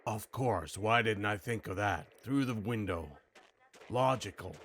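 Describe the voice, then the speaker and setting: A man's voice: on the low side, unhurried, a bit bright, a little hiss or hum. A man practicing noir-style narration and musing to himself in a dry manner while playing a game. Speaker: video creator practicing a character voice.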